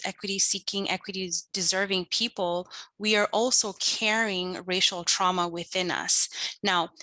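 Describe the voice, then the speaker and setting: A woman from Kenya speaking as a panelist in an online discussion, explaining her points in a measured, articulate way. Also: medium pitch, bright, forceful tone, no room sound, rough recording. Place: online video call.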